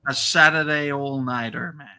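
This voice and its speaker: A man's voice: mid-range voice, steady tone, normal speed, sharp tone, clear, resonant tone, no echo, clean studio sound. A man who is a regular on a gaming podcast, joking with mock pride in casual chat.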